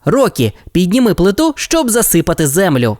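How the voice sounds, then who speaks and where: A woman voicing a calm young boy who leads a team of rescue pups, reassuring and commanding. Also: fairly low pitch, moderate pace, dry recording, clean studio sound, pitched above the performer's own voice.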